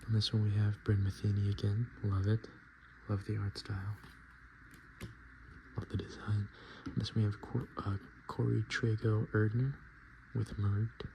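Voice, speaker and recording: A man speaking softly and often whispering in a casual ASMR style, with hesitant, halting, murmured delivery. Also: lowish voice, flat delivery, gravelly. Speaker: ASMR video host. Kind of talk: soft-spoken and whispered ASMR, casual commentary. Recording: noticeable background noise.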